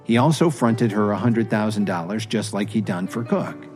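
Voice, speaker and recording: A man's voice: fairly low pitch, moderate pace, darkish tone. A man reading scripted story narration for an audio series. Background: music.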